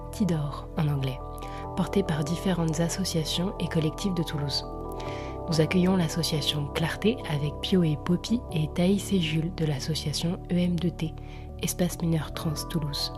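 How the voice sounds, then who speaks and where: A woman's voice: deep voice, moderate pace. A woman co-hosting a radio show, reading out the episode announcement and a content warning in a careful, formal way. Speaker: radio co-host. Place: community radio studio. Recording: music bed under the voice.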